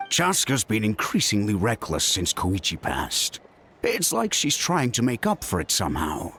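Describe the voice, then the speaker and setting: An actor playing a stern but caring middle-aged father, world-weary and emphatic, with a gravelly voice, in a video game. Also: fairly low pitch, huge pitch swings, normal speed, bright, forceful tone, a little hiss or hum, heavily acted. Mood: worried, weary. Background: background music.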